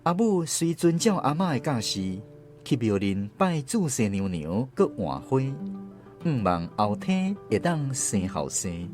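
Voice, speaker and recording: A woman's voice: very deep voice, big pitch swings, a little slow, darkish tone, soft background music, heavily acted. A woman with a Taiwanese accent narrating a radio drama as a grown daughter recounting her family's past. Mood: reflective.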